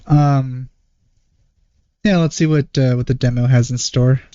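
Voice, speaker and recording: A man giving casual reaction commentary as a video host, in a hesitant, low-key manner. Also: fairly even intonation, measured pace, mellow, clear, resonant tone, no echo, dull audio. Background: game trailer music.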